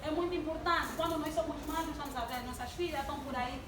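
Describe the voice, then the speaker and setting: A woman's voice: sits high, fast. A woman in her twenties giving a sermon-style talk to a congregation, asking the audience questions and drawing responses. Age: 20s. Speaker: church youth speaker. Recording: distant mic in an echoey hall with background noise.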